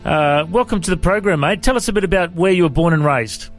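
Aussie guy hosting a chat, friendly and casual, a bit high-pitched, faint music under him. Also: quick pace. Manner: friendly, informal, calls guest mate. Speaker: podcast host. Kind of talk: casual interview.

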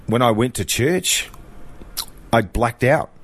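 A middle-aged man from New Zealand, a guest sharing his personal testimony in a relaxed, reflective way, with fillers and restarts. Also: mid-range voice, takes it slowly, breathy edge.

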